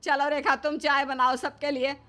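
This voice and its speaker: A woman's voice: high-pitched, level tone, moderate pace, bright, forceful tone. A woman playing an older mother-in-law in a family story, caring but firm and admonishing. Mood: firm scolding. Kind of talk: dramatised Hindi family story.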